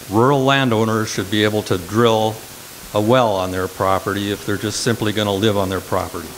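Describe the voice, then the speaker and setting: A man asks a candidate a question at a community forum, his voice a bit low and his pace very slow. He halts often with ums between phrases. Faint hiss sits behind him. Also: low-quality recording. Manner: halting, many ums. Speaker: forum panelist asking questions. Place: community hall.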